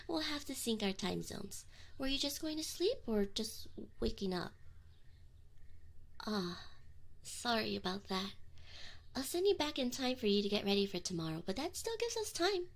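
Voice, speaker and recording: A woman's voice: a little high, big pitch swings, vocal fry, full character voice. A woman playing a young tsundere succubus in an intimate ASMR roleplay, prickly and defensive, with affection and neediness showing through. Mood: fond, bossy. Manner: defensive, teasing, halting when admitting feelings. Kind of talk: scripted ASMR roleplay acting, intimate direct address.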